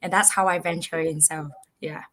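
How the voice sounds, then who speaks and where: A woman's voice: deep voice, fairly even intonation, normal speed, sharp tone. Casual woman speaker with many fillers. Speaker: panel speaker. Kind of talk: casual panel talk over a video call.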